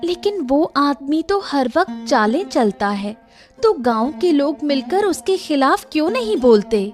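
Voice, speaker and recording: Actress as young cartoon village woman, determined, animated intonation, fairly high voice, light music behind. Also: measured pace. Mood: indignant. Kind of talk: Hindi animated moral story dialogue.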